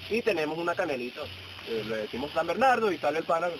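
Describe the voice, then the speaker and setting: Fast-talking young Venezuelan man, noisy recording. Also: big pitch swings. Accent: Venezuelan Spanish. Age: young adult. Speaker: cave guide. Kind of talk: informal safety briefing with anecdotes. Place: outdoors in a forest, by a signboard. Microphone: camera a few steps away. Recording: noisy low-quality outdoor camera audio.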